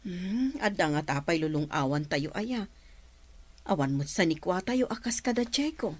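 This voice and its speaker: A woman's voice: lowish voice, expressive, moderate pace. A woman with an Ilocano accent playing a poor, patient, aggrieved village mother in an audio drama. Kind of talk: Ilocano radio-style drama acting.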